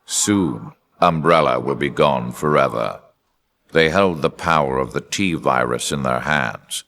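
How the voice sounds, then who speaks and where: A man voicing a cold, arrogant superhuman villain for a video game, speaking with smug, deliberate menace and theatrical mockery. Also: a bass voice, a bit bright, heavily acted. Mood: grandiose. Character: cold, arrogant male villain, superhuman schemer with sinister calm.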